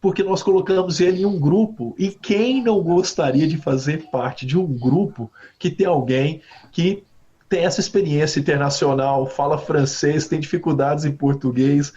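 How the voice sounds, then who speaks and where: A man from Brazil, a university professor, answering interview questions with animated stories, often ending phrases with a questioning tag. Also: a little high, moderate pace. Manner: animated storytelling with frequent "né?" tags. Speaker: guest professor. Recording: slightly dull lo-fi audio.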